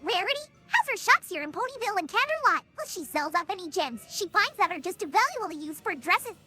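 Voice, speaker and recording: A woman voicing an excitable, frantic young female cartoon pony in a dramatic, insistent way for a fan audio drama. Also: extremely high pitch, fast, faint music behind, heavily acted. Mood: rambling, earnest.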